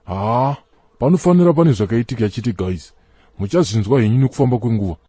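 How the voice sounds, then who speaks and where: A man's voice: mid-range voice, animated, fast talker, mellow, slightly rough, dry recording, studio quality. An actor voicing a Zimbabwean cartoon church elder who lectures his friend in an earnest tone. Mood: lecturing. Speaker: cartoon character, friend of Chikombera. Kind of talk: comic cartoon dialogue.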